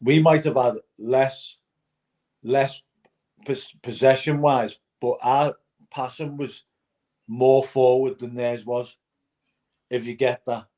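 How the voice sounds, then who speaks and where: A man's voice: medium pitch, steady tone, takes it slowly, pauses often, dark tone. A man chatting casually as a fan panelist after a match, using many fillers and often checking that he is understood. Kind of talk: post-match reaction chat.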